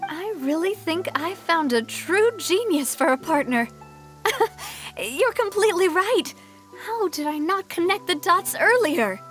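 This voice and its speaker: Young game heroine, high voice, proud n determined, earnest and confident, music in the bg. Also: female voice, animated, unhurried. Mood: hopeful, determined. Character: spirited young lady, proud, determined head of an organization. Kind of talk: video game cutscene acting.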